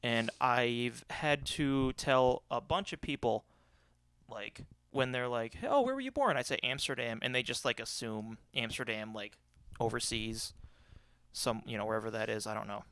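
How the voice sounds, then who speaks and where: A man's voice: mid-range voice, moderate pace, a bit bright, clean tone, no echo. A young American man hosting a casual podcast, goofy and self-deprecating, rambling off into tangents. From New York State, US. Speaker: podcast host. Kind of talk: casual chat.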